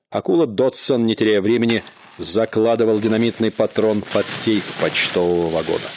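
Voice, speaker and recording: A man narrating a radio play in a measured, dramatic storytelling manner. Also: mid-range voice.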